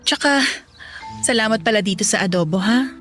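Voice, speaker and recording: A woman's voice: a little high, expressive, moderate pace, a bit bright, faint music behind. An actress playing a polite, proud middle-aged neighbour lady in a radio drama, mixing in English phrases. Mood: politely proud. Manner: gracious, composed, English phrases mixed in. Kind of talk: Tagalog radio drama acting.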